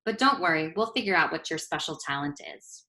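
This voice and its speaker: A woman voicing a calm, reassuring storybook mother with a gentle, soothing way of talking. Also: sits low, a little fast.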